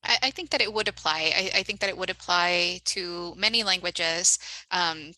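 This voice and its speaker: A woman moderating a webinar panel discussion, steering the talk with measured questions over a video call. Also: fairly low pitch, sharp tone, no room sound, poor audio.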